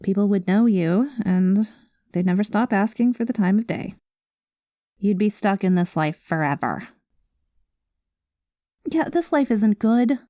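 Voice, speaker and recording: A woman playing a cynical, guarded space smuggler with a soft spot, talking to the listener in a wry, world-weary way in an ASMR roleplay. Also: mid-range voice, lively intonation, a little slow, long pauses, no room sound, close to an everyday voice. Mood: exasperated, guarded.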